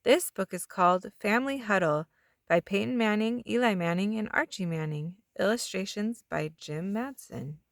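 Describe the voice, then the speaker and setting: A woman narrates a children's storybook at a middling pitch. She stretches out the ends of some phrases as she reads aloud. The recording is dry, with little room sound. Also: moderate pace, no room sound. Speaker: children's book reader.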